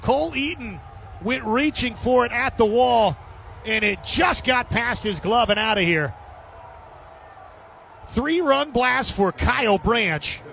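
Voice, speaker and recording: High-pitched, bright male baseball announcer. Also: animated, takes it slowly, creaky, long pauses, slight background noise. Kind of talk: live radio sports commentary.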